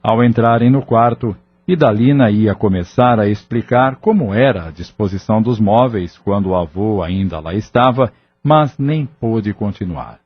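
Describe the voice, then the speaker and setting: Low-voiced male audio drama narrator. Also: normal speed, breathy edge. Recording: dull lo-fi audio. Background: background music.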